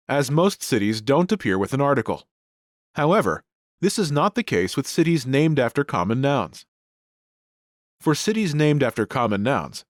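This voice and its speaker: A man in the middle of his pitch range narrates a language lesson. His voice rises and falls with lively movement as he explains each point clearly, recorded close on a dry studio mic with no room sound. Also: no room sound. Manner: clear, explanatory teacher delivery. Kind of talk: scripted grammar lesson narration.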